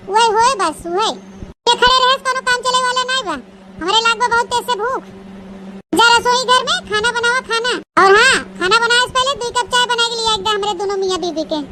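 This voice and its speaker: A woman's voice: very high voice, brisk. A woman with an Awadhi accent voicing a bossy, spiteful senior wife who scolds and taunts in a comic cartoon. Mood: commanding. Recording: noisy, hissy voice track.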